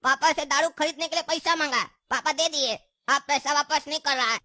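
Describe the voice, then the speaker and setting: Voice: sits high, fairly even intonation, fairly quick, heavy background noise, natural voice. A performer voicing a meddling pumpkin boy in a Hindi cartoon, pert and argumentative. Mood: indignant. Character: meddling, stirring pumpkin kid, a son.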